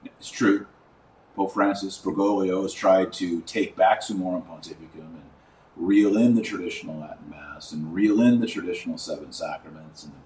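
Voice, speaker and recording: A middle-aged man giving informal religious commentary as a podcast host, speaking emphatically with pauses and drawn-out key words. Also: deep voice, darkish tone. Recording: roomy echo, distant mic, faint noise.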